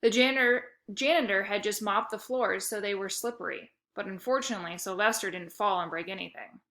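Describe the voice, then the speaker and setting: A woman reading a children's novel aloud with a lively, expressive storytelling manner. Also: mid-range voice, brisk. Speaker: reader.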